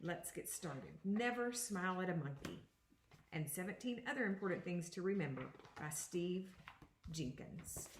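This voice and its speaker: A woman teacher giving an interactive read-aloud for young children, reading deliberately and pausing to put questions to her listeners. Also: fairly low pitch, expressive, roomy sound, a little hiss or hum. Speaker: second grade teacher.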